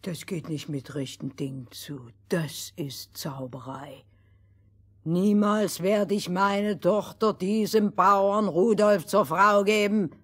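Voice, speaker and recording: Woman playing a foolish, plaintive, slow old-tale king. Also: lowish voice, steady tone, breathy edge. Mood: indignant. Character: foolish, self-pitying fairy tale king. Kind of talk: radio play fairy tale for children.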